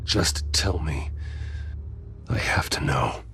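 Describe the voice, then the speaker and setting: Brooding game hero: American, very low voice, controlled and resolute, music underneath. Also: male voice, expressive, slow pace, bright, forceful tone, whispered, full character voice. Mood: grim, pleading. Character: brooding, determined male wealthy heir and secret vigilante. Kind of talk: video game cutscene acting. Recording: music behind the voice.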